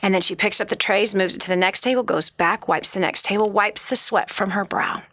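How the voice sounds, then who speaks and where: A woman's voice: medium pitch, steady tone, a little fast, bright, forceful tone, no room sound. A woman hosting a radio talk show, speaking earnestly to listeners with rhetorical questions and frequent restarts. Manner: frequent restarts and repeated words. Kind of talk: motivational talk show monologue.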